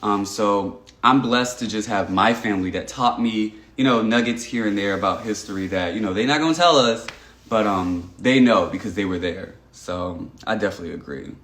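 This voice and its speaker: A man's voice: fairly low pitch, normal speed, a bit bright. A young man from Texas talking earnestly on a casual livestream, reading out and answering viewer comments with frequent "um"s and restarts. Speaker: livestream host. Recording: echoey room.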